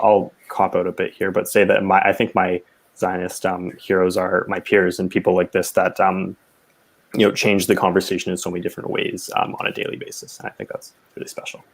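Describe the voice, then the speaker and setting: A man from Canada introduces himself on an online panel and hedges his points with many fillers. His voice is low and barely moves in pitch. He pauses and restarts as he works out what to say. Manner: hedging, with filler-heavy pauses. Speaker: political consultant.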